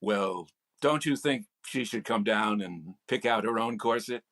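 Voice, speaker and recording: An actor playing a patient, talkative store clerk in an old-time radio comedy. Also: male voice, on the low side, a little slow, a bit bright. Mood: curious. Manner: explanatory, patient. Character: chatty, helpful male store clerk.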